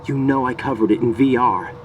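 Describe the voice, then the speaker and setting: A man's voice: medium pitch, brisk, recorded from a distance, a little hiss or hum, lightly acted. An American man playing an earnest, intense young special-forces rookie in a video game radio conversation. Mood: defensive.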